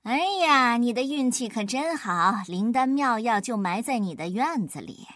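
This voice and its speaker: A woman playing a sly, witty trickster man in a children's story, with a deadpan, teasing manner. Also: mid-range voice, measured pace, no echo, clean studio sound. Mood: excited.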